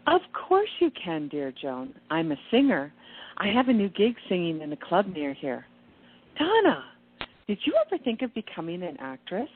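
Radio play role: gushing, theatrical female singer, played by a woman, lower register, phone-like lo-fi sound. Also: huge pitch swings, normal speed, breathy edge, exaggerated voice. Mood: gushing, charming. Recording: tinny phone-like lo-fi audio.

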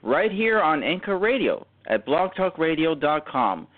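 A man hosting a radio show, reading a formal, wordy introduction with halting stumbles. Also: a little high, big pitch swings. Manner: formal, elaborate announcer phrasing with halting stumbles. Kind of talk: live scripted show introduction. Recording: tinny phone-like internet radio line.

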